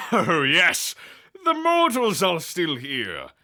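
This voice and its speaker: An actor playing a genteel immortal who dotes on magnificent beasts, speaking in a polite, formal and effusive way. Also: male voice, high voice, huge pitch swings, slow, sharp tone, heavily acted. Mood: fond, proud. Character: genteel, doting supernatural owner of magnificent beasts.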